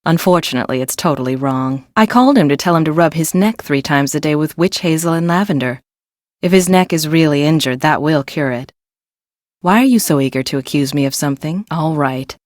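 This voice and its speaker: An actress voices a confident, quirky woman engineer for an adventure game. Her voice sits low and is recorded close and dry. She speaks articulately, with a wry, matter-of-fact edge and a new-age streak. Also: fairly quick, a little mellow, dry recording, close to an everyday voice. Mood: defensive, indignant. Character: self-assured, quirky new-age woman engineer, park maintenance supervisor. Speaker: video game voice actor. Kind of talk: scripted adventure game dialogue.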